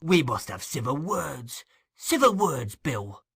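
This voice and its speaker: A man playing a sly old thieves' master from a classic storybook. His voice slides up high and bright as he wheedles and placates, cowardly and falsely soothing. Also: big pitch swings, takes it slowly, gravelly, breathy edge, heavily acted. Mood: placating.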